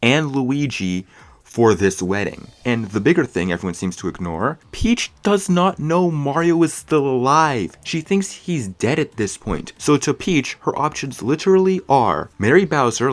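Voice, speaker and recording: A man giving opinionated film review commentary as a video host, stretching key words for emphasis. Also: medium pitch. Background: music under the voice.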